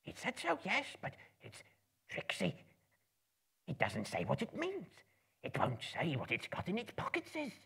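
Nasty little cave creature for a storybook, super raspy n hissy, paranoid muttering, pitch jumps all over. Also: male voice, wildly swooping pitch, a little slow, a bit bright, heavily acted. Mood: fretful, suspicious. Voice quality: raspy, hissing, creaky. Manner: muttering to himself in broken grammar, sibilant. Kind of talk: dramatic live book reading.

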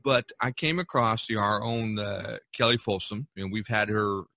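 A man hosting a casual podcast conversation, talking in a halting, conversational way. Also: on the low side, bright, forceful tone, no echo, studio quality. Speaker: podcast host.

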